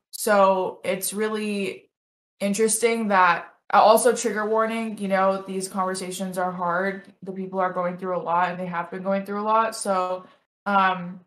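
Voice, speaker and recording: A woman's voice: lowish voice, fairly bright tone, reverberant. Earnest young woman on a stream. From United States. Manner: earnest, fast, many ums and restarts. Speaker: political streamer, guest. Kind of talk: casual talk stream conversation.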